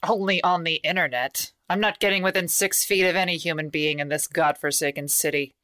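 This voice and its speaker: An actress playing a wry, hard-boiled private eye in a radio play, with a dry, sardonic manner. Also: female voice, low-pitched, a little fast, bright, forceful tone. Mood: dry, skeptical. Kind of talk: radio play noir narration and dialogue.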